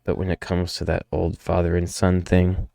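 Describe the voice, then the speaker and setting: Man as a deep, gravelly brooding loner. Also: monotone, moderate pace, dark tone, dry recording, studio quality. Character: gruff, haunted loner brawler narrating his grim past. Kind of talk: dramatic first-person comic narration.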